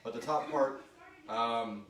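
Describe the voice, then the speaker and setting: A man's voice: medium pitch, slow, a bit bright. A man hosting a casual live question-and-answer session, rambling informally and thinking aloud as he talks. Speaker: host and show creator reading listener questions. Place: live group recording in an echoey room. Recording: echoey room, distant mic, clear background noise.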